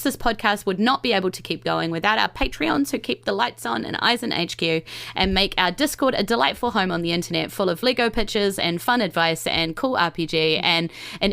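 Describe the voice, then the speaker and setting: A woman's voice: medium pitch, gravelly, a bit bright. A woman with a New Zealand accent, narrating a tabletop role-playing game in a casual, enthusiastic, improvised way as game master and host.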